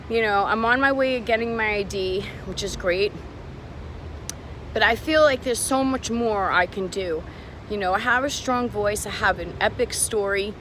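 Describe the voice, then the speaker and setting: Woman ranting into her phone on the street, upset and swearing, calls everyone guys, loud traffic behind her. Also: medium pitch. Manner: emotional, frustrated, swearing, addresses viewers as guys. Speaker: vlogger. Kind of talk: walking street vlog talking to camera. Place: outdoors on a city street. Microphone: handheld phone camera. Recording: noisy outdoor street recording. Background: traffic and street noise.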